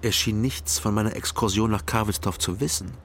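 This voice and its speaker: Low-voiced, wry East Berlin private detective. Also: male voice, a little fast, fairly bright tone, a little hiss or hum. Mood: guarded, dry. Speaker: narrator and lead detective. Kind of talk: first-person crime radio drama narration.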